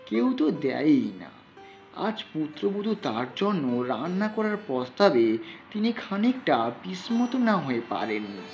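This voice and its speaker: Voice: medium pitch, darkish tone. A storyteller reading a literary audio story as scripted narration, with plucked guitar playing behind. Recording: dull lo-fi recording. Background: faint plucked guitar music.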